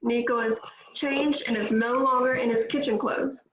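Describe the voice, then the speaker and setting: A woman reading stage directions in a steady, matter-of-fact way at a table read. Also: a little high, moderate pace. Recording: echoey, dull, faint noise.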